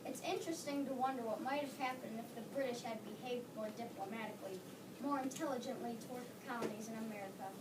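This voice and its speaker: A woman's voice: a little high, fast talker. Echoey distant woman narrating. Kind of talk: readers theater narration. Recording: echoey room, distant mic, loud noise.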